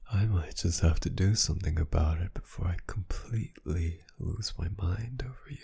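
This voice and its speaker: Casting: male actor for flirty villain captor, ASMR audio drama. Dark, mellow tone, husky and breathy, possessive, teasing delivery. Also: full character voice. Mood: seductive, possessive. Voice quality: low, gravelly, breathy. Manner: slow teasing drawl, often dropping to a whisper. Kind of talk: intimate ASMR roleplay acting.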